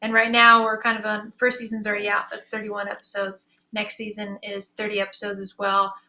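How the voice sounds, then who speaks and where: A woman's voice: mid-range voice, monotone, a little fast, sharp tone, slight background noise, poor audio. A woman in a conversational panel discussion, speaking casually and reflectively as a moderator and fellow creator. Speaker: panel moderator, audio drama creator and musician.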